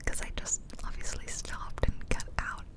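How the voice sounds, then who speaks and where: A woman whispering softly and close to the mic in ASMR style, rambling casually through a personal story in a chatty way. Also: normal speed. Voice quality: soft unvoiced whisper. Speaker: ASMR video creator. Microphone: close-up ear-to-ear stereo microphone.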